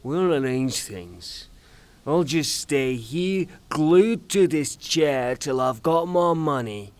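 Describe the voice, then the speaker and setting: A man's voice: a little high, animated, slow, clean tone, dry recording. A man playing an angry, blustering, woman-hating creditor, ranting theatrically in an audio drama. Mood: defiant, sarcastic. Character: angry blustering male creditor, hot-tempered woman-hater.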